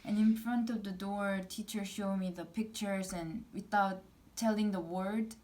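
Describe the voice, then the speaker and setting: A woman's voice: medium pitch, fairly even intonation, a little mellow. Hesitant Korean-accented young woman. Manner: hesitant, halting, frequent fillers and restarts. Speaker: interviewee. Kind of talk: casual interview answers.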